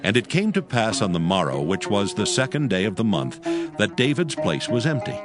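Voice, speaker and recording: A man's voice: fairly low pitch, sing-song, normal speed. A man narrating a dramatized Bible story as a scripted reading in archaic English. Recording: background music under the voice.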